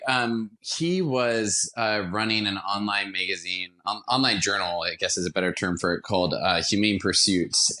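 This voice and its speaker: A man's voice: fairly low pitch, sharp tone. A man speaking as a podcast guest in conversation, appreciative, with hesitant phrasing. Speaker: podcast guest, theater company founder. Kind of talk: interview conversation.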